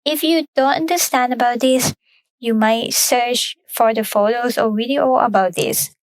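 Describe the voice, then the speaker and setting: Woman with a high, dry voice. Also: no echo, rough recording. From Thailand. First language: Thai. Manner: careful, measured explaining in second-language English. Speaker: podcast co-host. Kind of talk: explanatory cultural talk.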